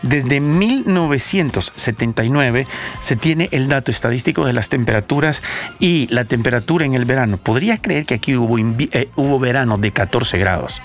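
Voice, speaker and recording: A man's voice: mid-range voice, lively intonation, moderate pace. Emphatic Salvadoran man hosting over music. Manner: emphatic, repeats phrases for stress. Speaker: host. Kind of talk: casual radio-style talk with co-hosts. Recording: music playing behind the voice. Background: background music.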